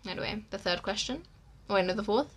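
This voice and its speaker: A woman's voice: medium pitch, expressive, fast, vocal fry. A teenage girl with an Egyptian accent, co-hosting a casual podcast in a chatty, teasing way. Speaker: podcast co-host. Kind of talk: casual chat.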